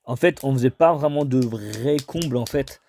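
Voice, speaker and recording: A man's voice: mid-range voice, normal speed, creaky, mellow, a little hiss or hum. A man in a casual phone conversation, explaining things in a rambling, hesitant way. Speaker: homeowner taking a cold call.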